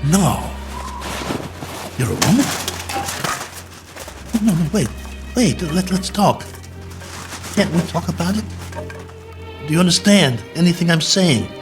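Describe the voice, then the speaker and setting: An actor playing a worn-out, injured and lonely crash-landed space colonel in a radio drama, talking in halting, emphatic bursts. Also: male voice, on the high side, expressive, over music. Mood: pleading. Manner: halting, repetitive, emphatic pleading and musing.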